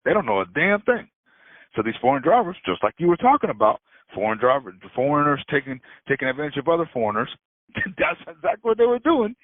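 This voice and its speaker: A man's voice: fairly high pitch, very animated, fast, no echo. A man, a freight broker, ranting in casual talk on a phone call, swearing a lot and laughing often. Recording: tinny phone line.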